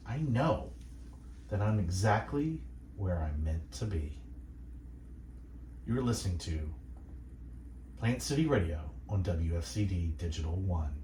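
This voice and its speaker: A man hosting radio, delivering reflective, encouraging monologues in a measured, earnest way with deliberate pauses. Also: deep voice, warm tone, echoey room, recorded from a distance, a little hiss or hum. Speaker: radio host and station manager.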